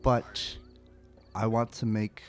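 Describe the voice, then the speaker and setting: A man's voice: lowish voice, completely flat delivery, slow pace, faint music behind. A man talking casually as a player in a tabletop role-playing game, thinking aloud as he goes.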